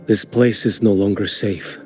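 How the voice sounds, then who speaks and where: An actor playing a calm, aloof male wanderer in a video game, terse and unhurried in speech. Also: fairly low pitch, natural voice. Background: game music.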